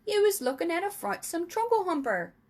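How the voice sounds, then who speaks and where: A woman voicing an earnest, excitable male giant in a children's storybook, with emphatic, folksy, muddled speech. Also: extremely high pitch, fairly quick, pitched above the performer's own voice. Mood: explaining. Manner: emphatic, folksy mangled grammar. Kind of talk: children's storybook character reading.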